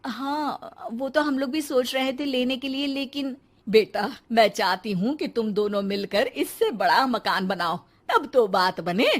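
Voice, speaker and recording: A woman's voice: a little high, animated, unhurried. A woman voicing a thrifty, firm middle-aged mother-in-law in a cartoon story, giving motherly advice in a scolding tone. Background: background music.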